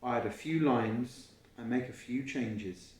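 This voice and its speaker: A man voicing an eager, imaginative young schoolboy from a storybook, reading with expressive emphasis. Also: medium pitch, unhurried, natural voice. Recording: roomy, echoey home recording.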